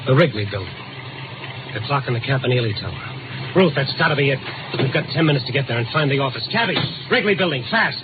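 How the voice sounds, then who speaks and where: An actor playing an urgent, tough American newspaper reporter in a 1950s radio drama, with clipped, insistent delivery. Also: male voice, mid-range voice, lively intonation, fast talker, background noise, lightly acted. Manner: clipped, insistent, hard-boiled. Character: urgent, tough-talking male newspaper reporter on the night beat. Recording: tinny old radio recording, loud music behind.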